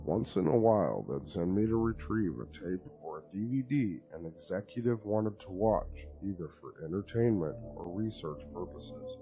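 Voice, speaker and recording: A man giving a dramatic reading of a horror story, telling it in an expressive, conversational way. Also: on the low side, moderate pace, gravelly, hardly any pauses, highs cut off. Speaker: narrator.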